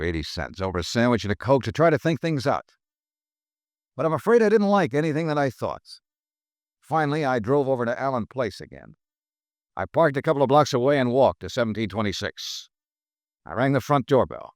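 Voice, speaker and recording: An actor playing a hard-boiled insurance investigator who narrates and questions people in a dry, laconic, wry way, in a 1950s radio drama. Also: male voice, medium pitch, very animated, a little fast, dry recording, close to an everyday voice. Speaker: detective narrator.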